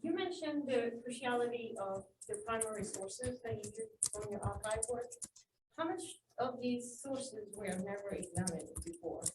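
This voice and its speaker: A woman's voice: fairly high pitch, normal speed, a little mellow, slight background noise. A woman in the audience politely asking the speaker a question. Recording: echoey room from far off, with typing and clicks.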